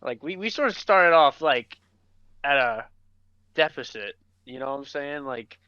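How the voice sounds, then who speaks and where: Bright, clear-voiced guy in blunt podcast banter. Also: medium pitch, expressive, unhurried, no room sound, highs cut off. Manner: blunt, slangy, swears freely. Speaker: podcast co-host.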